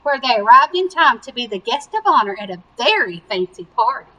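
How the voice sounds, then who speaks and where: A woman reading a picture book aloud to young children with animated emphasis and simple explanatory asides. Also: fairly high pitch, brisk, low-quality recording. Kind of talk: slow, expressive children's read-aloud.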